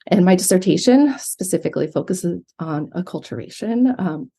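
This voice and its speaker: A woman's voice: fairly low pitch, normal speed, husky. A woman with an American accent, a professor giving thoughtful interview answers with frequent ums. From Yemen, raised abroad. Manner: thoughtful, steady, filler-heavy. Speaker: guest, psychology professor. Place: remote from Montana.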